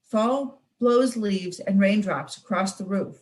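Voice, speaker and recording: Young woman reading a kids book out loud, mid voice, playful with the snaps and taps. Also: mid-range voice, slow pace. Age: young adult. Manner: expressive read-aloud, playful with sound words. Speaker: student reader. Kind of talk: reading a picture book aloud.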